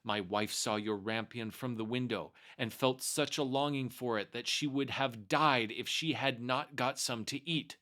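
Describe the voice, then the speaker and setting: A man's voice: mid-range voice, a little slow, few pauses, bright, forceful tone. A man voicing a devoted, humble husband in a storybook, earnest and pleading. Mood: pleading, fearful.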